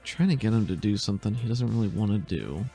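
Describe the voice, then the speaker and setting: A man with a husky, mid-pitched voice runs a tabletop game. His narration is casual and improvised, with lots of movement in pitch. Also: animated, fast, dark tone, faint music behind. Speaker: game master.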